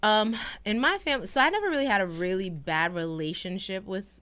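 A woman's voice: medium pitch, lively intonation, fairly quick, a bit bright. A young American woman co-hosting a podcast, talking casually and reflectively with many fillers. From United States. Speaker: podcast co-host. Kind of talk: casual conversation.

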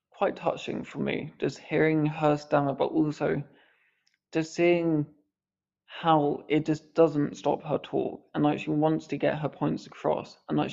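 Brief: male podcast host, earnest, slight stammer, flat and gravelly delivery, lo-fi audio. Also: a little high. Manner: earnest, mild stammer on odd words. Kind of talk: reflective podcast commentary.

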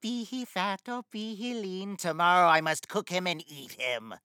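A woman's voice: fairly low pitch, normal speed, bright, forceful tone, clean tone, no echo, clean studio sound. A woman voicing a cruel, greedy old witch who scolds and sneers in a storybook reading. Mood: angry.

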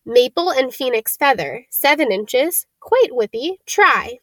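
A woman plays an old wandmaker from a storybook, a keen and slightly eerie man with a soft, high-set voice. He lists details in deliberate, clipped phrases and grows excitable. The sound is dry and close. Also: no room sound, full character voice. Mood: businesslike, brisk. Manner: deliberate, clipped listing of details, exclamatory greetings. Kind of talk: storybook character reading.

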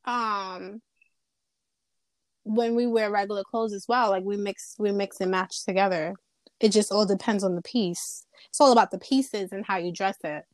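Twenty-something woman, laid back and playful cohost, mid pitch, dry close mic. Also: steady tone, normal speed, no room sound. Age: 20s. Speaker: podcast co-host. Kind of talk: casual chat.

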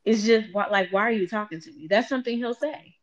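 Lively woman in her twenties, lo-fi. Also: mid-range voice, quick pace. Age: 20s. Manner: animated, playful teasing, talks to viewers directly. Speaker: host. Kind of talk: casual chat.

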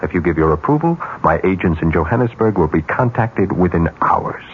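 An actor playing a smooth, calculating broker of arranged murders in a 1970s radio drama, persuasive and businesslike. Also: male voice, low-pitched, lively intonation, fairly quick. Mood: quietly persuasive. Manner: businesslike, persuasive, coolly insinuating. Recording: muffled, noisy 1970s radio broadcast.

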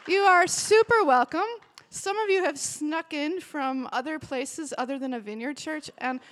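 A woman in her forties with a high, bright voice gives a warm, chatty welcome to a church retreat gathering. She sounds emotional and breaks into cheering bursts. Her voice rings out with a long reverb in a big hall. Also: unhurried, some chatter behind. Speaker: worship director. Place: large hall with a live audience.

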